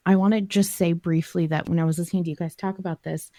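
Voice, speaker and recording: Woman podcast host, soft dark mellow tone, dry mic, explains stuff in a measured reflective way. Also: fairly low pitch, fairly even intonation, no room sound. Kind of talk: reflective discussion.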